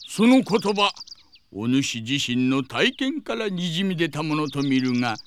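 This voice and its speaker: A man's voice: a little high, big pitch swings, measured pace, slight background noise. A male actor playing a blustering, comical old martial-arts master in an audio drama, using archaic old-man speech. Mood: solemn.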